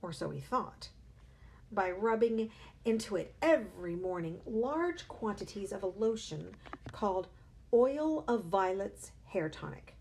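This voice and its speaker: A woman, a teacher, reading a children's novel aloud with expressive storytelling. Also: medium pitch, measured pace, creaky.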